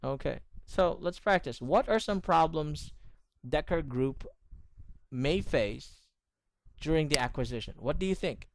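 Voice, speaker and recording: A man's voice: on the high side, lively intonation, measured pace, gravelly, a little hiss or hum. A man teaching English in an online lesson, correcting pronunciation and praising his student often. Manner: encouraging, corrective, repeats praise.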